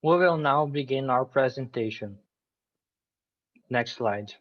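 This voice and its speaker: A student from Brazil delivers a rehearsed presentation over a video call. He keeps a middle pitch and a formal, recited tone. His audio is lo-fi and muffled. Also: slow. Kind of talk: reading a scripted presentation.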